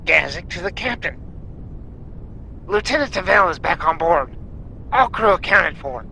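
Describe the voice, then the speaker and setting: A dutiful starship lieutenant played by a man reports briskly in a fairly high, gravelly voice. It is science fiction audio drama, with a faint rumble behind the words. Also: lively intonation, sharp tone, exaggerated voice, rough recording.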